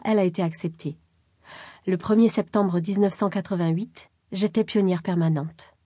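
Mellow, husky-voiced woman reading calmly. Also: mid-range voice, dry recording, studio quality. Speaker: reader of a first-person article. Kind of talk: scripted first-person narration.

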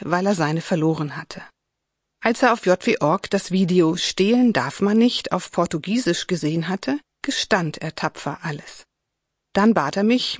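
A woman reading listings and short reader reports aloud in a clear, steady, announcer-like way. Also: medium pitch, no echo, studio quality. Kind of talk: scripted reading.

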